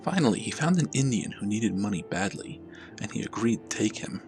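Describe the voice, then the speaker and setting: A man with a fairly low voice reads a scary story. He narrates in a measured, dramatic storytelling manner. Music plays behind his voice. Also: lively intonation, darkish tone. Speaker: storyteller, channel host. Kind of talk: scripted horror story narration.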